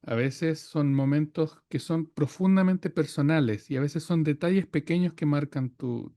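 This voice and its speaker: A man from Chile, a podcast guest who speaks reflectively, pausing often with fillers as he gathers his thoughts. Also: medium pitch, warm tone, dry recording, clean studio sound. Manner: thoughtful, many hesitations and fillers. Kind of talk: reflective conversation.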